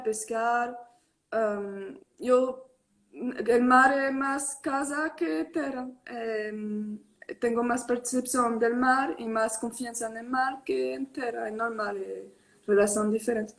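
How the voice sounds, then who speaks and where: A young adult woman on a video call, talking casually in an interview and switching between Spanish and Portuguese with many pauses and fillers. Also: fairly high pitch. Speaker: tattoo artist guest. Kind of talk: casual online live interview.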